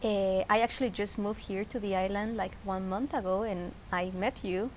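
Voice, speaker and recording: A woman's voice: medium pitch, steady tone, normal speed. A woman from Peru with a Peruvian Spanish accent, talking casually and reflectively as a guest, searching for her phrasing in English. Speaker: interview guest. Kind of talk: casual personal conversation. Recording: clear background noise, ambience.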